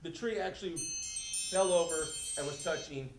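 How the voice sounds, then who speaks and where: A man speaking as a resident at a public meeting, explaining informally and deliberately, step by step. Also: on the high side, a crowd in the background. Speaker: resident giving public comment. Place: indoor council meeting room. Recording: echoey room, steady background noise.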